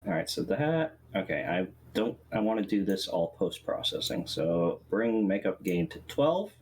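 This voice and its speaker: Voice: low voice, sing-song, moderate pace, darkish tone, slight background noise. A friend on a voice call, teasing and talking through audio settings. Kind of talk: casual chat.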